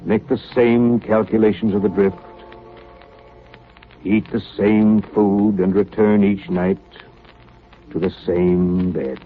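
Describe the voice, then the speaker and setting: An actor playing a reserved, weary captain of an icebound ship, measured and solemn, in 1930s radio drama style. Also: male voice, fairly low pitch, steady tone, soft background music. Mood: resigned, weary. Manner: slow, measured, deliberate. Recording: tinny, narrow 1930s radio recording.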